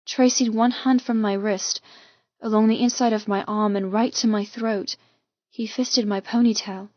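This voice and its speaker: A woman reading a first-person romance audiobook with intense, expressive, dramatic delivery. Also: mid-range voice, normal speed, dry recording, lo-fi sound. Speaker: audiobook narrator.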